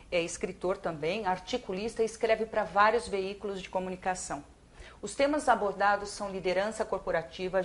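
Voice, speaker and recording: A woman with a Brazilian accent hosting an interview show, presenting and asking questions in polished, deliberate phrasing. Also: mid-range voice.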